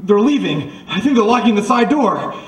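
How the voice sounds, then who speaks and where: A woman playing a quick-thinking, sassy girl Friday to a detective in an old-time radio drama. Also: mid-range voice, animated, lightly acted. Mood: urgent. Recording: echoey, distant, faint noise.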